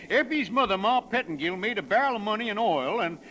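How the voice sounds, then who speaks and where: A boisterous small-town American Westerner in a 1930s radio comedy. His voice is very high and sharp, swooping freely as he drawls and teases. The old broadcast is slightly noisy. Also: animated, a little fast. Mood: teasing. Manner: drawling, slangy, chuckling. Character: boisterous folksy small-town Western man, teasing and good-natured.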